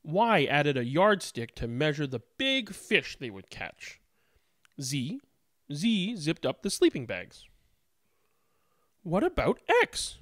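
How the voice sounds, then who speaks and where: Very animated, unhurried children's storybook reader. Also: medium pitch, dry recording, studio quality. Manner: animated, deliberate storytelling with emphatic word stress. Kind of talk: expressive read-aloud of a children's picture book.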